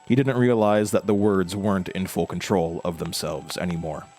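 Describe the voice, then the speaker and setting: A man playing a haunted, frightened protagonist, narrating a horror audio drama in a halting, uneasy, stammering way. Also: deep voice, steady tone, darkish tone, faint music behind, close to an everyday voice.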